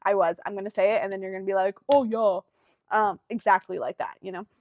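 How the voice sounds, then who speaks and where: A young woman from Texas co-hosting a podcast, talking in an animated, chatty way and often jumping in. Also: mid-range voice, fast talker, no echo. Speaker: podcast co-host. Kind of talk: casual chat.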